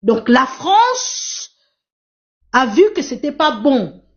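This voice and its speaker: A high-voiced woman whose first language is Portuguese speaks on a live online panel, pressing her points with emphatic repetition. Her voice comes through dull, lo-fi stream audio. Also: very animated, slow, slight background noise. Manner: emphatic, repetitive, exhorting the audience. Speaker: live stream moderator and activist. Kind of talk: impassioned live panel talk.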